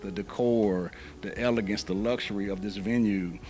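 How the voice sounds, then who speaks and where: A middle-aged man from Louisville, Kentucky, speaking in a measured, conversational way as an interview guest. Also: on the low side, steady tone, a little mellow, soft background music. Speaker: interview guest, event planner. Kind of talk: casual interview.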